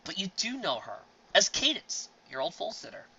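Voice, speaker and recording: A patient, placating stallion, the older brother, as a reader puts him on, raised a little above plain speech. The sound is bright and lively, with a slightly dull quality and faint hiss. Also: medium pitch, normal speed, creaky, slightly rough, voice pushed up, full character voice. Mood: amused. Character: young adult male pony, the patient older brother.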